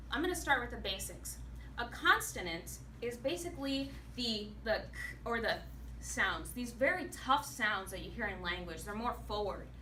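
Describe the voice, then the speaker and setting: An animated woman, an actor and singer, giving a prepared speech to a club audience in a meeting room. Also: medium pitch. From United States. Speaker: public speaker, actor and singer. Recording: echoey room, mic far off, faint noise.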